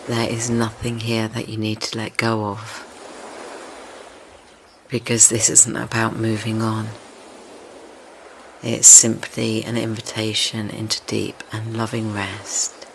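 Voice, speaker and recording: A man leading a guided meditation in a gentle, soothing, tender manner, unhurried and with long pauses between phrases. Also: fairly low pitch, creaky. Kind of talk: slow guided meditation, yoga nidra. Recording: steady ocean wave noise behind voice. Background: ocean wave sounds.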